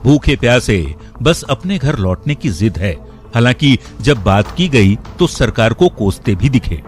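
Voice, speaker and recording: A man's voice: medium pitch, sing-song, unhurried. A man reading a scripted news voice-over as the narrator of a report. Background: faint background music.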